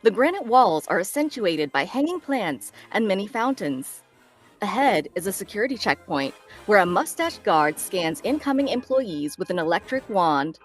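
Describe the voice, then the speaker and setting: A woman's voice: mid-range voice, big pitch swings, normal speed, faint music behind. A woman narrating stage directions in a scripted table read.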